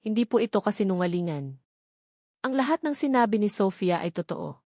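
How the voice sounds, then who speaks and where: A woman's voice: mid-range voice, expressive, fairly quick, warm tone, no echo, studio quality. A woman voicing a protective, defiant grown son in a love story, firm and earnest. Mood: resolute.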